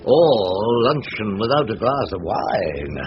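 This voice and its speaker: Deep, theatrical ageing English barrister. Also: male voice, very animated, takes it slowly. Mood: wry. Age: older. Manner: world-weary, theatrical, sardonic. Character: grumbling, theatrical ageing English barrister, wry and long-suffering. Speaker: barrister and narrator. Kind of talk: radio drama narration and acting. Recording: dull, noisy lo-fi radio drama recording.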